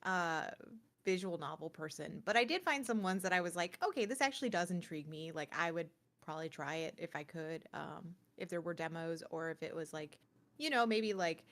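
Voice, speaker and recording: A woman's voice: lowish voice, lively intonation, fairly quick, fairly bright tone. A woman co-hosting a podcast, talking casually and conversationally as she reviews games. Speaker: podcast co-host. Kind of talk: casual gaming chat and review.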